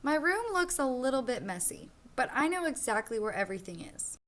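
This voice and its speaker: A sloppy, cheerful young storybook boy voiced by a woman reading aloud tells his own tale. The voice sits fairly high, with expressive emphasis and childlike boasting. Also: a little fast. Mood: matter-of-fact.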